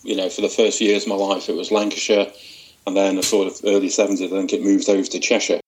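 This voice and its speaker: A man's voice: deep voice, little pitch movement, fast talker, poor audio. A middle-aged man from Widnes, reminiscing as a guest with lots of restarts and small chuckles. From Widnes, North West England. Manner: hesitant, many restarts, chuckles. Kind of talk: casual reminiscing interview.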